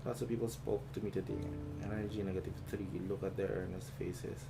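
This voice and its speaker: A man with a low voice reads on-screen game text aloud as a streamer. He stumbles over words and reacts as he goes. Loud game music with plucked strings plays behind him. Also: fairly even intonation, fast talker. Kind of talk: reading game text aloud while playing.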